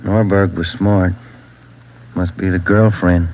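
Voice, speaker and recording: An actor playing a male police detective partner with plain, lively questioning in a 1940s radio drama. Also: deep voice, takes it slowly, vocal fry, slight background noise, heavily acted. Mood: reflective. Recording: dull old radio broadcast.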